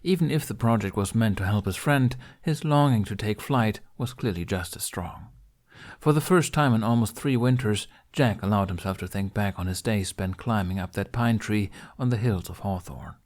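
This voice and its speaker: A man's voice: lowish voice, moderate pace, darkish tone. A man reading a story aloud as scripted narration. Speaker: podfic reader.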